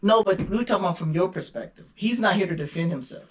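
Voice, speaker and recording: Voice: mid-range voice, quick pace. A talk show host aged fifty, questioning a guest conversationally and pressing each question again and again. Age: 50s. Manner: persistent, repeats and presses questions.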